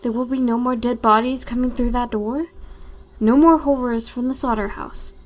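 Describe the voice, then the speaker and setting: A woman's voice: on the high side, fairly even intonation, moderate pace, faint music behind, natural voice. A woman playing a fussy, put-upon lady of the household in a melodramatic amateur audio drama. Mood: indignant, relieved.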